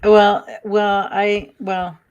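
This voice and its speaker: A soft-spoken woman guest on a video call, telling stories about her life in a casual, hesitant way. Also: medium pitch, level tone, drawn-out words, smooth, muffled recording. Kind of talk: casual storytelling chat.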